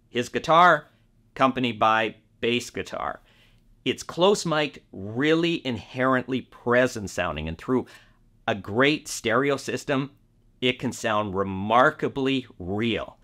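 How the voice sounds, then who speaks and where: A man hosting a review to camera, speaking in deliberate phrases with emphatic stresses on key words. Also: mid-range voice, a bit bright. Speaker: audio reviewer and video host. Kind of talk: review talk to camera, semi-scripted.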